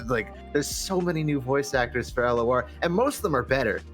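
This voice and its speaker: A man in a casual podcast discussion, complaining in an animated way and often saying "like". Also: medium pitch, a little fast, faint music behind. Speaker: podcast guest.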